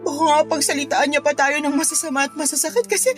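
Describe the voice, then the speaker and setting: A woman's voice: sits high, fairly even intonation, quick pace, over music, close to an everyday voice. A woman playing a devoted but hurt young granddaughter in a Tagalog radio drama, speaking with earnest emotion. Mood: hurt, bitter.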